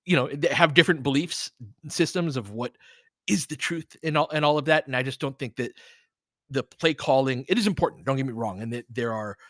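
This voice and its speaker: Bright-voiced man calling basketball like a fan. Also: mid-range voice, fairly quick, no room sound, studio quality. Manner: partisan fan calling plays in short clipped phrases, explaining conversationally. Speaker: host and play-by-play commentator. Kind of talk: live audio basketball commentary with casual tactical analysis.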